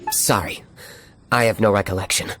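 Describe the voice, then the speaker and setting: Aloof, stern tavern owner. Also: male voice, fairly low pitch, lively intonation, moderate pace, pushed and bright, soft background music. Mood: cold, dismissive. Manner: dry, clipped, unsentimental. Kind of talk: video game voice acting.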